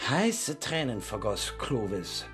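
An earnest village constable with literary ambitions in a vintage radio comedy. He is bombastic and grumbly, slow-paced, with a lively melody. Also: mid-range voice, music in the background. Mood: melodramatic, earnest. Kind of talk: old German radio play acting.

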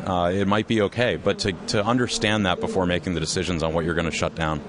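Low-pitched, fast-talking male founder, measured and explanatory. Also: fairly even intonation, a crowd in the background. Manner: measured, explanatory, with frequent restarts. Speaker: company co-founder and CEO, interview guest. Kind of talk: conversational business interview. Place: trade expo floor.

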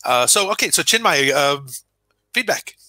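Bright-voiced upbeat male panel host. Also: on the high side, expressive, moderate pace, dry recording. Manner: upbeat, loose, self-correcting. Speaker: host, program director. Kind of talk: hosting an online panel. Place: home office. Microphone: headset on video call.

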